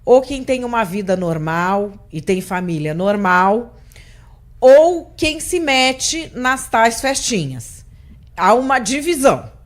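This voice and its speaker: A woman's voice: on the high side, sing-song, takes it slowly. A middle-aged Brazilian woman hosting a political interview, speaking bluntly and indignantly in a conversational tone. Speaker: interview host. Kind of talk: political talk show interview.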